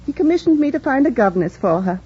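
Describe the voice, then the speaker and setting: A woman's voice: high-pitched, very animated, quick pace, slightly rough, heavily acted. An actress playing a kindly, fussing older housekeeper in a vintage radio drama, motherly, genteel and chatty. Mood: amused, kindly. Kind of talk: 1940s-50s radio drama acting. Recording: dull vintage radio recording.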